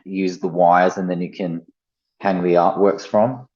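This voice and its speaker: Deep-voiced Australian man, slightly muffled. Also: flat delivery, moderate pace, a little mellow. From Gold Coast, Australia. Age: 30s. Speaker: podcast guest, artist. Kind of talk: casual conversation. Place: at home, main living space.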